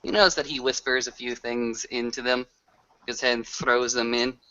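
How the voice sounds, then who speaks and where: A man's voice: medium pitch, little pitch movement, moderate pace, sharp tone, a little hiss or hum. A man casually narrating his character's actions as a player in a tabletop roleplaying game. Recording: dull lo-fi voice recording.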